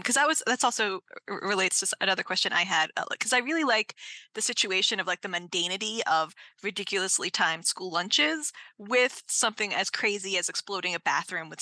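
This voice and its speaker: A woman moderates a casual Q&A in a bright, crisp-edged voice of medium pitch. Her sound is close and dry, and she puts questions to the panel conversationally. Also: no room sound.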